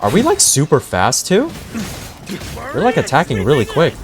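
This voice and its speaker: A man's voice: on the high side, huge pitch swings, normal speed, over music. A man streaming gameplay, reacting casually with slangy exclamations and muttered asides to his chat. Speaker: game streamer.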